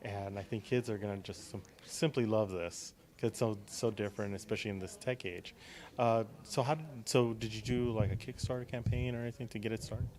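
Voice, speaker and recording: Interviewer: man, slightly low voice, casual and encouraging with guests. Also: fairly even intonation, fairly quick, a little mellow. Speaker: interview host.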